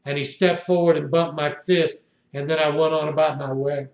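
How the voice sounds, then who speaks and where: A man's voice: fairly high pitch, fairly even intonation, moderate pace, gravelly, a little mellow, reverberant. A man in his sixties telling a long, indignant story in a rambling, repetitive monologue. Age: 60s. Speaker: video blogger. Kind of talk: rambling first-person monologue.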